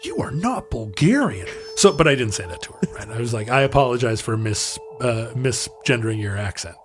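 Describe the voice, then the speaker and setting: A man's voice: medium pitch, sing-song, fairly quick, soft background music. A man co-hosting a podcast, telling a casual anecdote in a rambling, self-correcting way. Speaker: podcast co-host. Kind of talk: casual chat, storytelling.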